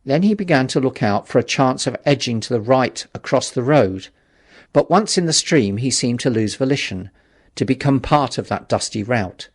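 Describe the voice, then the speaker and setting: A man reading a classic novel as an audiobook narrator, in measured, dramatic storytelling. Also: medium pitch, expressive, husky.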